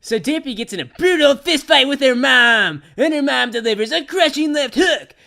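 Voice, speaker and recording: Woman voicing a bright, brash, animated young cartoon pony storyteller. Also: high-pitched, normal speed, creaky, heavily acted. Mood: animated, irreverent. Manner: childishly simple phrasing mixed with casual, sardonic slang. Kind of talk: irreverent storybook narration with slangy asides.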